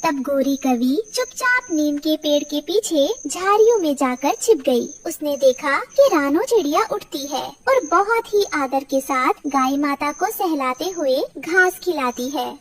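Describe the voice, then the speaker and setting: A woman's voice: high-pitched, expressive, unhurried, few pauses, distant mic, a little hiss or hum. A woman narrating a dramatic cartoon story in a scripted storytelling style.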